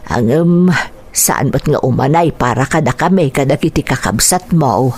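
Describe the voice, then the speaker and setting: A woman's voice: very deep voice, expressive, normal speed, a little mellow, slightly rough, full character voice. An actress with an Ilocano accent playing a concerned, plaintive mother of a poor family in a radio drama. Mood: concerned, sorrowful. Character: anxious, grateful mother of a struggling poor family.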